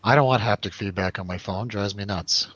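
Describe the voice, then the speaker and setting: A man's voice: fairly low pitch, a little fast, fairly bright tone, husky, dry recording, muffled recording. A man chatting casually and jokily as host of a film review show, in informal, slangy talk. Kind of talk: casual chat, film review over drinks.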